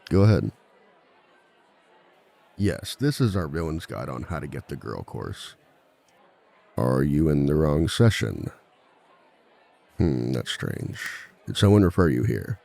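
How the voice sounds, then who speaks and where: A man playing a composed, dryly patient villain who teaches a seminar, in an ASMR roleplay with a gravelly voice and an unhurried, politely authoritative manner. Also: rumbling low, warm tone, full character voice. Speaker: seminar instructor.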